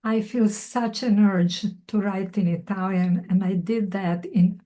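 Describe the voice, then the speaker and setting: A woman in her eighties with a soft, mellow tone speaks slowly and with care. She reads her own poems and then answers questions about them with dry, thoughtful humor. Her voice comes through a video call. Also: medium pitch, fairly even intonation. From Croatia. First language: Serbo-Croatian. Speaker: featured poet. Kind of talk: poetry reading, then conversational Q&A.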